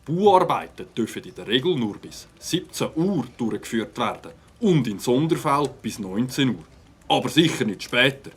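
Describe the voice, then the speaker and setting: A man's voice: medium pitch, very animated, normal speed, husky. An actor playing a stern, pedantic village policeman with a formal, officious manner in an audio drama. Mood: measured, official.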